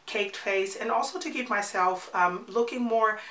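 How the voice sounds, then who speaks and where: A woman's voice: medium pitch, normal speed, fairly bright tone, echoey room. A woman in her forties with a congested, nasal voice from a cold, chatting her way through a makeup tutorial and repeating words for emphasis. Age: 40s. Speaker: beauty vlogger.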